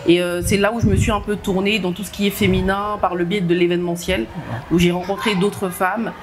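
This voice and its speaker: A woman's voice: mid-range voice, a little fast. A young woman who grew up in France, answering interview questions earnestly, with many hesitations and light laughs. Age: young adult. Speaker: entrepreneur guest. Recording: steady background noise.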